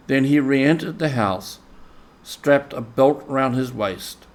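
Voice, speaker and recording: A man reading a classic children's story aloud as scripted narration, in a measured, deliberate storytelling manner. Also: medium pitch. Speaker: audiobook reader.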